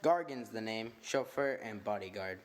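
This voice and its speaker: A man's voice: on the low side, lively intonation, normal speed, natural voice. A man playing a slangy, streetwise tough-guy chauffeur. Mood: wheeler-dealing. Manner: slangy, drawling, ungrammatical gangster talk. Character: tough-talking male chauffeur and bodyguard, streetwise and greedy. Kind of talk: old-time radio acting.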